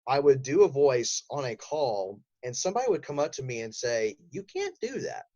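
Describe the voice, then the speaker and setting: Lively male storyteller, slightly muffled. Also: medium pitch, fairly quick. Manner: animated, acts out quotes. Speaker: voice actor, podcast guest. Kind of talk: casual interview storytelling.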